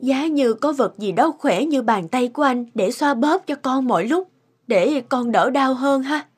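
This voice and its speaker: High-voiced caring cartoon mother. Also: animated, measured pace. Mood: wistful. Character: caring, curious wife and mother. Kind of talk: animated cartoon dubbing. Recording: music behind the voice.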